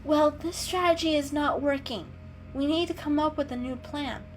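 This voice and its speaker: A woman's voice: high-pitched, moderate pace, a little hiss or hum, voice pushed up, natural voice. A woman voicing a skeptical but kindly female cat sidekick in a storybook reading, speaking emphatically. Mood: doubtful, exasperated.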